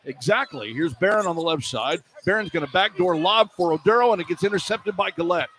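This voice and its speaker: A man does live radio play-by-play of a basketball game in a fairly high-pitched voice. He describes the action in clipped phrases and lifts into excited calls. Arena noise runs behind him. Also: brisk. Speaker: play-by-play sports announcer. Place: indoor college basketball arena.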